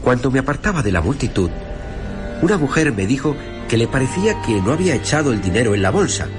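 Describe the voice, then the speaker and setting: A man reading a literary story in the first person, theatrical and measured, with deliberate pauses between phrases. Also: mid-range voice, very animated. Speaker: narrator reading a short story. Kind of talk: dramatic literary radio narration in first person. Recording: music under the voice. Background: music.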